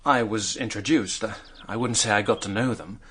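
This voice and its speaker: An actor playing a hard-up, self-mocking drinker under questioning in a British radio drama, wry and hesitant. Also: male voice, on the low side, moderate pace, fairly bright tone, slight background noise, lightly acted.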